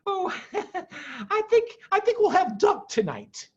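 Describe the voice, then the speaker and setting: A man voicing a gentle, anxious vixen wife in a storybook, sweet and fretful. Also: very high-pitched, big pitch swings, slow pace, dull audio, voice pushed up, full character voice. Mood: sweet, coy.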